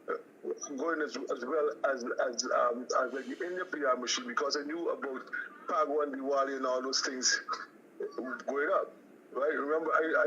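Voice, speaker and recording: Halting Guyanese man calling in. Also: on the high side, bright, forceful tone, slight background noise. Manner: halting, many restarts and fillers. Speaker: caller. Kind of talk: call-in radio chat. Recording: muffled phone call.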